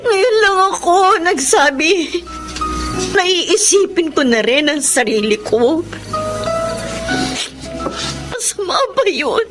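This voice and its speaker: An actress playing an earnest, dutiful grown daughter in a Filipino radio drama. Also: female voice, sits high, lively intonation, a little slow. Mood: hurt, tearful. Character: hurt, dutiful grown daughter, a longtime family breadwinner. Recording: music under the voice.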